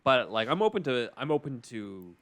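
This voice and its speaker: A man's voice: mid-range voice, big pitch swings, moderate pace. A man hosting a podcast, explaining a film story in casual chat with an analytical, enthusiastic fan's manner and casual asides. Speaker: podcast host.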